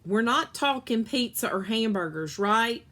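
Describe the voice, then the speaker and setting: A woman's voice: fairly high pitch, animated, a little slow, a bit bright. Woman reading as emphatic, exasperated storybook mom. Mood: bargaining. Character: exasperated, bargaining mother in a children's story.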